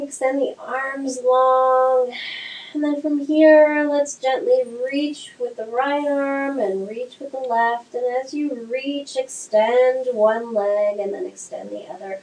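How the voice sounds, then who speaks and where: Gentle nasal woman guiding yoga. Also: high voice, steady tone, takes it slowly. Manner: gentle, soothing cues. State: nasal from a cold. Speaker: yoga instructor. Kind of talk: guided yoga class instruction. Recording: echoey room, faint noise.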